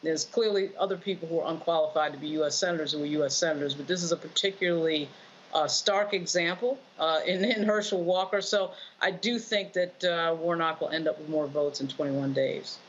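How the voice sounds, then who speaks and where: A woman's voice: low voice, fairly quick. An American woman giving political commentary as a panelist, repeating points for emphasis. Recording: dull lo-fi audio with faint noise.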